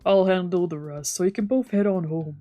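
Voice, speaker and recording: A woman's voice: on the low side, fairly quick, warm tone, dull audio, faint music behind, close to an everyday voice. A woman voicing a tired, guarded male doctor from a visual novel in a subdued, curt way. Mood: weary. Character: tired, guarded adult male doctor, apologetic but closed. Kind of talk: read-aloud dub of a visual novel.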